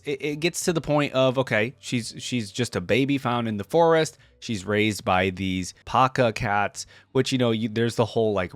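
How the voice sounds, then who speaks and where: A man's voice: medium pitch, dry recording. A man hosting a reaction video, reading stories aloud and commenting on them casually with informal asides.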